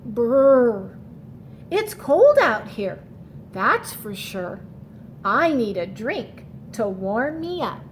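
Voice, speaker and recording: A woman voicing a shivering, sneezy storybook snowman who longs for warmth, with drawn-out, exaggerated delivery. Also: a little high, sing-song, slight background noise, full character voice. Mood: cold, shivery.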